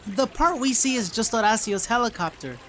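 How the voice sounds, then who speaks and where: A woman's voice: a little high, animated, fairly quick, a little hiss or hum, lightly acted. A woman playing an earnest young heroine in an audio drama, patiently explaining a secret to a friend.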